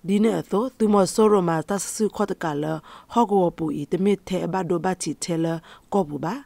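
Creaky radio news reader, close and dry. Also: medium pitch, moderate pace, warm tone, no room sound. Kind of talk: scripted news reading.

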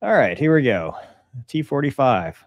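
A man's voice: medium pitch, very animated, moderate pace, no room sound, clean studio sound. An American man in his forties hosting a podcast with informal, joking banter. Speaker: podcast host, military pilot. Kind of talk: casual banter, commenting on a clip. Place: small home studio room. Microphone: studio microphone on boom arm, headphones.

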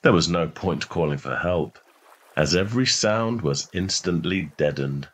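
Low-voiced male story narrator. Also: lively intonation, a little slow. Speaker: narrator reading a short story.

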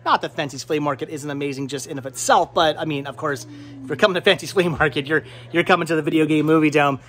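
An American man in his thirties chatting excitably as a vlog host, often repeating his words, recorded on a handheld camera indoors in a shop. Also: a little high, fast. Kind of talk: casual handheld shopping vlog chat. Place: indoor flea market movie shop. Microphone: handheld camera at arm's length.

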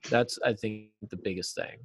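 Middle-aged male interview guest, lowish voice, informal teacherly explanations, close dry sound. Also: steady tone, moderate pace, a bit bright, breathy edge, no room sound. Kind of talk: casual explanatory interview talk. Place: classroom, indoors. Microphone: computer mic on a video call.

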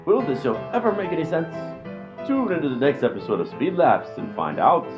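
A man's voice: a little high, wildly swooping pitch, fairly quick. A man working as announcer, reading scripted narration for a comedy serial in mock-dramatic style. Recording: dull lo-fi audio, music behind.

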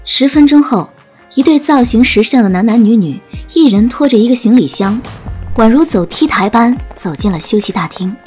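A woman's voice: fairly high pitch, lively intonation, moderate pace, a little mellow. A woman narrating a scripted dramatic audiobook story. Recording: music bed under narration.

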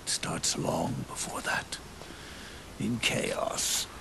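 A deep, gravelly weary old soldier. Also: male voice, huge pitch swings, background noise, exaggerated voice. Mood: solemn, philosophical. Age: older. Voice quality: deep, gravelly, breathy growl. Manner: slow, solemn, halting delivery with heavy pauses. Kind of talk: dramatic video game cutscene monologue. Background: orchestral music.

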